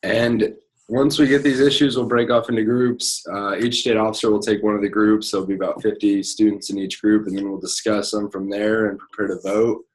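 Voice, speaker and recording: Low-voiced young man explaining procedures. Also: flat delivery, rough recording. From Nebraska. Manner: casual, halting explanations with many fillers. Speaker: state FFA officer. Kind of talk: explaining procedures on a conference call.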